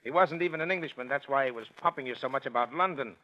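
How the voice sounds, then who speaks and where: An actor playing a wry, knowing police detective, explaining with irony in 1940s radio acting style. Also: male voice, medium pitch, fast, natural voice. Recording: muffled 1940s radio recording.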